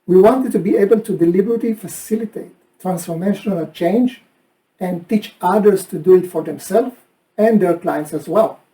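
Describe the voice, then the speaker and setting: A man's voice: high-pitched, moderate pace, dark tone, distant mic, rough recording. A man with a heavy Israeli accent co-presenting a training session, who often repeats words and restarts his sentences. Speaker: co-host and trainer. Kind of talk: presentation chat.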